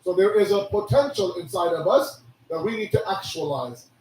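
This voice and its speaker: A hoarse man giving a sermon, preaching with animated rhetorical questions. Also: high voice, moderate pace, slight background noise. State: hoarse, losing his voice. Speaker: religious speaker. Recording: echoey hall from a distance.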